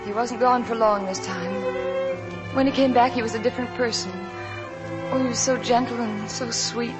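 An actress playing an anxious, devoted young wife in 1940s radio drama, earnest and pleading, on a vintage broadcast recording. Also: female voice, fairly high pitch, steady tone, normal speed, loud music behind the voice, close to an everyday voice. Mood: troubled recollection.

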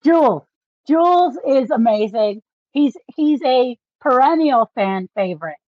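A woman's voice: high voice, lively intonation, a little slow, no room sound. A woman co-hosting a podcast in a casual book discussion, explaining things like an enthusiastic fan. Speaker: podcast co-host. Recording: slightly dull, dry recording.

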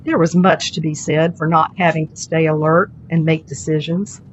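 A woman's voice: deep voice, flat delivery, moderate pace. A woman writer reading her own short story as scripted literary narration. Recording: dull lo-fi audio, background noise.

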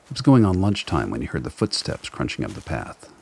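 A man's voice: extremely low pitch, huge pitch swings, fairly quick, gravelly, slightly rough. A man narrating a scripted radio drama as a storyteller.

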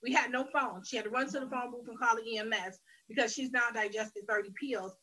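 A woman tells her own story on a faith interview show. Her voice is fairly high and bright, and she speaks earnestly and candidly, often circling back to restart a phrase. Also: fairly even intonation, quick pace, rough recording. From Detroit, Michigan, USA. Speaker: guest sharing her life story. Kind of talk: personal testimony in a faith interview.

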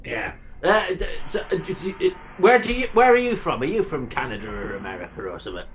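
A man hosting a crude comedic chat, rambling and stammering with sudden shouty outbursts. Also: a little high, very animated, brisk. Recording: slightly muffled with faint noise.

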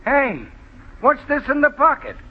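Figure: a brash, slow-talking summons server. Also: male voice, very high voice, very animated, heavily acted. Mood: breezy. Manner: breezy, slangy. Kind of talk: 1940s radio comedy acting. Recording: dull old radio broadcast with faint hiss.

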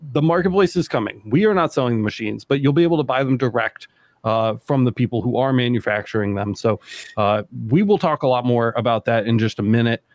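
A man in his thirties hosting a casual livestream, talking in a rambling, relaxed way full of fillers. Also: mid-range voice, a little fast, no room sound, studio quality. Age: 30s. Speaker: livestream host.

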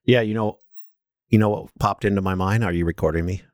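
A man's voice: on the low side, fairly quick, no room sound, clean studio sound. A man co-hosting a podcast in casual, slangy banter. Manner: informal, slangy. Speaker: podcast co-host.

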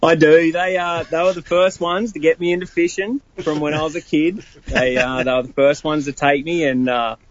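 A man voicing a female rescue dog who fishes for bass, talking in a husky voice with a laid-back drawl. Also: fairly even intonation, normal speed, natural voice. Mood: fond. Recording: dull lo-fi with clear background noise.

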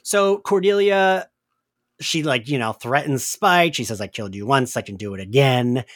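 Animated podcast host, lively man. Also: medium pitch, normal speed, no echo, studio quality. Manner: animated, chatty, filler-heavy with frequent "like". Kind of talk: casual recap discussion.

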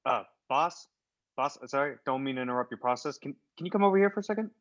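A man's voice: medium pitch, lively intonation, quick pace, a bit bright, close to an everyday voice. A man playing a timid henchman who is nervous, hesitant and placating, in an improvised comedy radio play. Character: timid, apologetic male henchman reasoning with boss. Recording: dull lo-fi audio.

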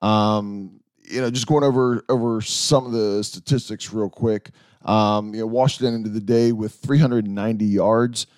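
A man hosting a casual sports podcast, a bit hoarse, talking in a rambling, halting way. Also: lowish voice, fairly even intonation, a little mellow, no echo. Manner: rambling, halting, with many restarts and fillers. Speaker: podcast host.

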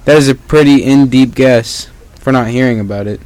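A man's voice: medium pitch, moderate pace, a little mellow, slight background noise, lightly acted, low-quality recording. A man playing an earnest, sensible, matter-of-fact police officer in an amateur old-time radio drama. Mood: businesslike.

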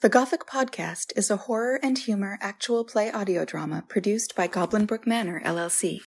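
A woman reads scripted credits for a podcast as its announcer. Her voice sits at an average pitch. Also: normal speed, breathy edge.

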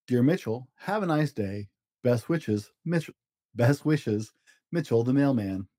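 A man's voice: medium pitch, lively intonation, slow pace, mellow, dry recording, studio quality. A man voicing a kindly, dutiful dog mailman in a storybook, speaking earnestly and plainly. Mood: wistful.

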